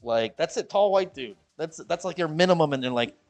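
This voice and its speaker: A man's voice: expressive, a little fast. Rambling Midwestern man streaming, music behind. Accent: American Midwest. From Chicago, US Midwest. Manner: rambling, jokey, repeats himself, mimics people in high voices. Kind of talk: casual chat to live-stream viewers. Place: the Philippines.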